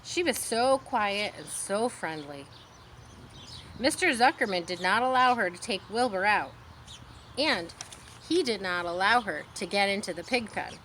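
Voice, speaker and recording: A woman with a bright, forward voice reads a story aloud for children. Her pitch sits in a middle range for a woman. She adds simple explanations as she goes, over faint background noise. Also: expressive, unhurried. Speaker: storybook reader.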